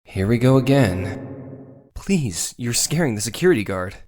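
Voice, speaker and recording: A man's voice: medium pitch, lively intonation, normal speed, a little mellow, lightly acted. An actor voicing an exasperated but polite young male defence lawyer from a video game, with hesitant, sardonic asides. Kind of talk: dramatized video game dialogue.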